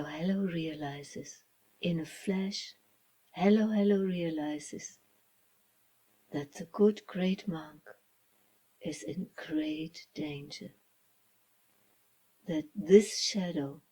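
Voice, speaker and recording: A woman reading a children's story as its author, in a dramatic storybook style with hushed, breathy phrases and deliberate pauses. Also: on the low side, expressive, poor audio. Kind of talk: slow dramatic storybook narration.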